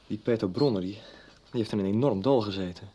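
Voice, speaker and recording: An actor playing an easygoing, helpful friend in a conversational radio soap. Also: male voice, lowish voice, fast talker, mellow, lightly acted. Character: easygoing, helpful male friend living in Germany. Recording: faintly noisy 1990s radio recording.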